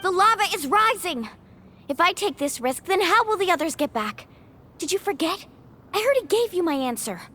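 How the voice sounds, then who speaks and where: A woman's voice: very high-pitched, very animated, moderate pace, pushed and bright, slight background noise, exaggerated voice. A woman voicing a brave, resolute young female guide in a video game, firm and earnest, with music behind her.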